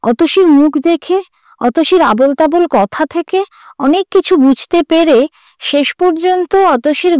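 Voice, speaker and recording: A woman reading a literary story aloud in a dramatic, expressive narrator's style. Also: high voice, no room sound. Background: music.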